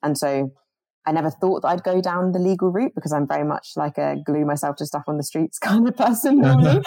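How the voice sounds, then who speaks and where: A young woman with a low, mellow voice talks earnestly about her organizing over a video call. She restarts her sentences often as her thoughts run ahead, and the sound is dry and close. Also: no echo. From born in Jamaica, grew up in the UK. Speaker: climate organizer guest.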